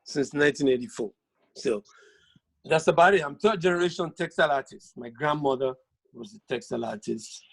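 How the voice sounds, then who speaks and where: A man's voice: fairly high pitch, a little fast, vocal fry, no room sound, poor audio. A middle-aged man from Nigeria, a textile artist, introducing himself on a video call with jokes and frequent laughter. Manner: jovial, laughs often, joking.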